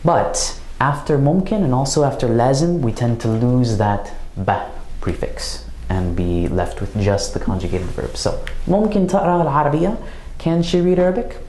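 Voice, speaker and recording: A man giving a recorded language grammar lesson, explaining slowly and carefully with example phrases. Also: mid-range voice, animated, a little mellow, reverberant, slight background noise, low-quality recording. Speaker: language teacher.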